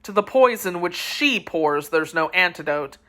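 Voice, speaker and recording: Storybook: somber swordsman, low faltering murmur, a woman reading. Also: lively intonation, measured pace, fairly bright tone. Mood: grave. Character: grave older male swordsman, somber and stern.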